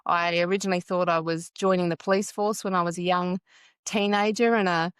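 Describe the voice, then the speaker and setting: Smooth, chatty woman in her fifties. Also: lowish voice, steady tone, brisk, no echo, clean studio sound. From Wales. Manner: chatty, storytelling, frequent ums. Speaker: podcast guest, psychologist. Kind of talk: casual interview chat.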